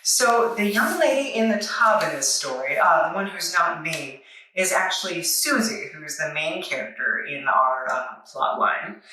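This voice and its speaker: Female reviewer, bright and wry, recorded in a very echoey room a bit away from the mic. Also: fairly low pitch. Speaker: comic book reviewer, video host. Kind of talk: semi-scripted review narration. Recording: echoey room, distant microphone.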